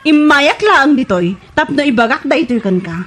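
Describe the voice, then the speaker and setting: Casting: actress as scornful young Ilocano woman, very high voice, animated scolding, radio comedy drama. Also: normal speed. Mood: angry, scornful. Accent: Filipino, Ilocano. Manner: scolding, emphatic. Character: fed-up, scornful woman confronting her womanizing boyfriend.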